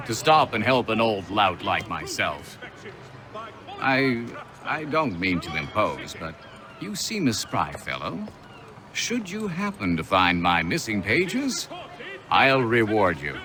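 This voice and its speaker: Genial old scholar, low voice, hugely varied intonation, rambling self-deprecating charm, period game drama. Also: male voice, big pitch swings, takes it slowly. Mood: genial, wry. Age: older. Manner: theatrical, rambling, old-fashioned phrasing. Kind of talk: video game cutscene acting.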